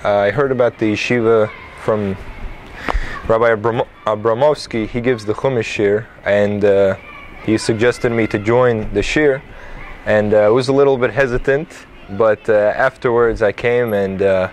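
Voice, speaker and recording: Lowish-voiced man, informal testimonial. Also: steady tone, normal speed. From Atlanta, Georgia, USA. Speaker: yeshiva student giving a testimonial. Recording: faint background ambient noise.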